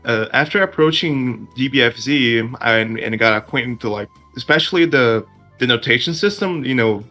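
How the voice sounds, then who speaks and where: Bright-voiced man. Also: mid-range voice. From Brazil. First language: Portuguese. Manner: earnest, with restarts. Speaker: podcast co-host. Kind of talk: casual chat.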